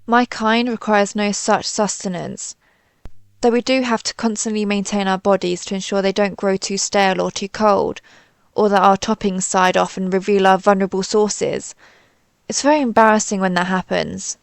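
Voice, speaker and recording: A woman's voice: mid-range voice, fairly even intonation, normal speed, creaky, no echo, lightly acted. A woman playing a pompous, easily flustered female alien invader in a scripted audio roleplay, with haughty, theatrical, formal phrasing. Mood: pompous, menacing.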